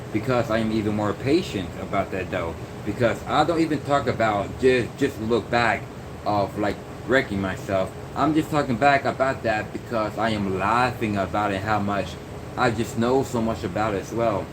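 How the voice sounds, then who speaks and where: Young man vlogger, middle pitch, meandering casual talk, noisy air-conditioned room. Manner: meandering, many fillers and restarts. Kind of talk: rambling casual monologue. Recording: noisy background hum.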